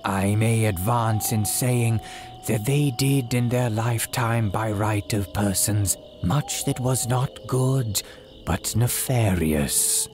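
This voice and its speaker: An actor playing a very old, sinister yet humble cemetery priest in a solemn, archaic radio horror monologue. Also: male voice, lowish voice, a little mellow. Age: older. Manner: archaic, solemn, drawn-out dramatic delivery. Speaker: horror storyteller.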